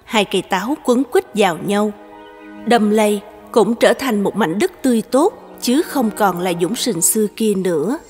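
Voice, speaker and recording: A woman performing as a calm narrator, telling a cartoon folk tale in scripted storybook style. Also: mid-range voice, normal speed, long reverb tail, faint music behind, lightly acted.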